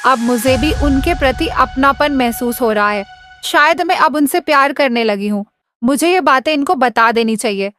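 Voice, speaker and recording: A young village bride from a cartoon story, played by a woman, speaks earnestly and a little hesitantly. Her voice is high, and light music runs beneath it. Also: expressive, moderate pace, a bit bright. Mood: tender. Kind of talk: dramatised story dialogue.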